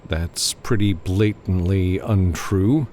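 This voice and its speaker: A man voicing a gruff, stubborn, terse male freelance gunslinger in an audiobook. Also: deep voice, takes it slowly, warm tone, a little hiss or hum. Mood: guarded, defiant. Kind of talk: audiobook character dialogue.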